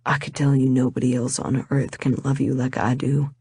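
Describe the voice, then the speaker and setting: A woman voicing an earnest young man proposing, speaking softly and deliberately. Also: a bass voice, little pitch movement, slightly rough, no room sound, clean studio sound, pitched below the performer's own voice, exaggerated voice. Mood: tender. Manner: soft, heartfelt, deliberate.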